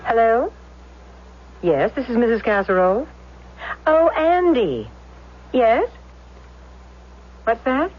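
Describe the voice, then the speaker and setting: An actress playing a flustered, indignant housewife in a 1970s radio drama, stammering and exclaiming. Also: female voice, a little high, sing-song, full character voice. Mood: flustered disbelief. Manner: slow, stammering, swooping exclamations. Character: flustered, indignant housewife, a fire chief's wife. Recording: muffled, narrow vintage radio sound.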